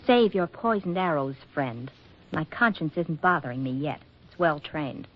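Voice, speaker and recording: Lively wisecracking radio dame, muffled vintage recording. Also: female voice, low-pitched, moderate pace. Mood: wry, reflective. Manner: arch, slangy, breezy wisecracking. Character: wisecracking, scheming young woman photographer, sly but softening. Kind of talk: 1940s radio acting.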